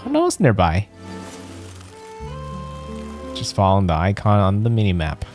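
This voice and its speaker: Low-pitched male game streamer. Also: wildly swooping pitch, normal speed, over music. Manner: musing aloud, questioning. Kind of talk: casual let's play commentary.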